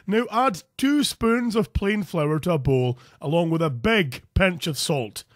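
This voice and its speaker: A man's voice: high-pitched, a little slow, dry recording, clean studio sound. A Scottish man hosting a crude comic cooking show, narrating recipes with jokes. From Scotland. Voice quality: crude jokey, animated delivery. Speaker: comedy cooking show host.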